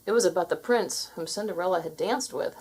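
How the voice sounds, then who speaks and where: Woman, children's storybook narrator, scripted read-aloud, slightly low pitch. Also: fairly quick, a little mellow, slightly rough.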